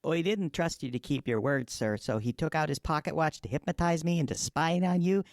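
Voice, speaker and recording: A man's voice: fairly high pitch, a little fast, no room sound, studio quality, natural voice. A man playing a chatty, earnest talking reindeer in a comedic audio drama. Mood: earnest explaining.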